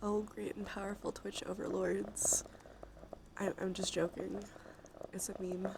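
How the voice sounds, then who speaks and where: A woman with a stuffy nose chatting softly and sleepily on an ASMR livestream, casual and playful, in whispers and quiet talk. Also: mid-range voice, flat delivery, a little slow, noisy background. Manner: soft, breathy whispering mixed with quiet talk; playful, casual, swears freely. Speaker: live streamer.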